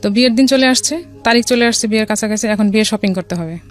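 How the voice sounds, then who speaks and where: A woman from Bangladesh with a Bangladeshi accent, telling a personal story as a radio guest in a casual, somewhat halting way. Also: fairly high pitch. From Dhaka, Bangladesh. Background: music.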